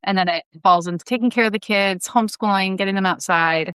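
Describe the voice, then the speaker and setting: A woman's voice: mid-range voice, fast, fairly bright tone, clean tone, no echo. A woman in her thirties chatting as a guest in a casual interview, explaining things in a chatty way. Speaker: guest, audio drama creator.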